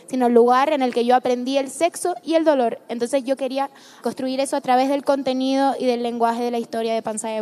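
A woman novelist speaking frankly and articulately on a panel, in long, steady sentences. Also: sits high. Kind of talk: fluent panel discussion.

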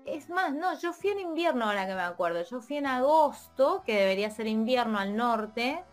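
A Spanish teacher from Argentina, chatting casually in an interview and thinking aloud with many fillers and restarts. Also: female voice, a little high, expressive, moderate pace, soft background music. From Argentina, Mendoza. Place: lives in Europe.

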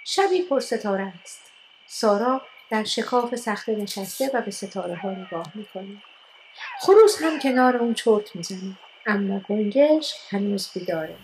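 A woman's voice: mid-range voice, expressive, darkish tone, slight background noise, natural voice, low-quality recording. A woman reading scripted narration for a children's radio play in a storytelling style.

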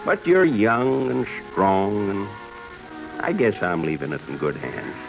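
An actor playing the departing old year as a gentle, reflective old man, speaking in a homespun, fatherly way in a 1950s radio drama. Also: fairly low pitch, wildly swooping pitch, slow pace, creaky, heavily acted. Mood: wistful farewell. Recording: dull vintage radio broadcast, music behind.

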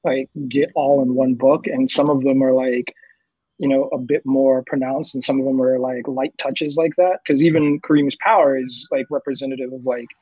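A man's voice: medium pitch, fairly even intonation, moderate pace, slightly rough, dry recording, muffled recording. A man who writes comics, talking in a loose, casual way with lots of fillers. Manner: loose, filler-heavy, acts out voices with bursts of laughter. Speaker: comic book writer, guest. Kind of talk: casual conversational interview.

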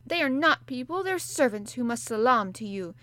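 A woman's voice: big pitch swings, measured pace, bright, forceful tone, no room sound, full character voice. A woman voicing a spoilt, haughty little girl who speaks coldly and disdainfully. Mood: furious. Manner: cold and disdainful, flaring into shrill rage.

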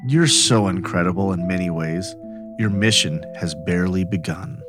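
A man's voice: deep voice, normal speed, pitched below the performer's own voice, close to an everyday voice. Man acting as a solemn mystical lover over music. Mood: adoring, fervent. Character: solemn mystical male lover, devout and adoring. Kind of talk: theatrical dramatic reading of text messages.

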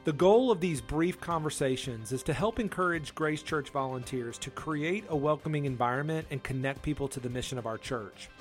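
Upbeat church podcast host. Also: male voice, fairly high pitch, animated, brisk, few pauses, faint music behind.

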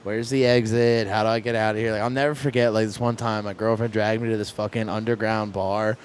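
A man's voice: on the low side, level tone, a little fast, a little hiss or hum. A young man in casual podcast chat, joking and profane as he tells stories.

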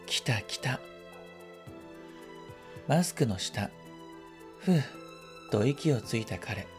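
A man reading a first-person literary story as scripted narration, in a quiet, reflective, measured manner. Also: mid-range voice, expressive, faint music behind. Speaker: story reader.

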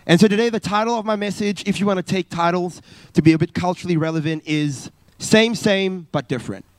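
Pastor preaching, dry mic. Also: male voice, high voice, fairly quick, no echo. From South Africa. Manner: earnest, many filler uh's and restarts. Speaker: youth pastor. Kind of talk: sermon. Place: church stage, live service.

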